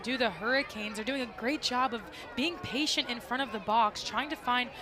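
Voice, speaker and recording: A woman's voice: fairly high pitch, moderate pace. A woman giving colour commentary and analysis during a live soccer broadcast. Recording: live broadcast with crowd noise. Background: stadium crowd.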